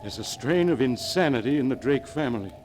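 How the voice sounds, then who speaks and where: Male actor as grave, fearful uncle, mid-pitched, 1940s radio melodrama with faint background noise. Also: lively intonation, brisk, a little mellow, lightly acted. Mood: haunted, fearful. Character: haunted, fearful middle-aged uncle, dreading madness. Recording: old radio recording, clear noise.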